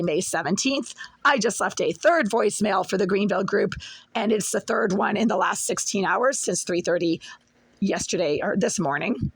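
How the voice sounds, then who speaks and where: A woman with a hoarse, strained voice, filming a long complaint in her apartment kitchen on her phone, going over her grievances in detail and repeating herself. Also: on the high side, fairly bright tone, dry recording. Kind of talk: complaint video filmed at home.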